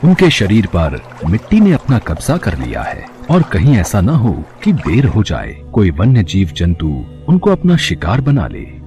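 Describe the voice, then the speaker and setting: A man plays a gentle, consoling god in a devotional cartoon. His formal speech rises and falls widely in pitch over prominent music. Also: wildly swooping pitch, a little slow. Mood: calm, reassuring. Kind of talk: Hindi devotional cartoon dialogue.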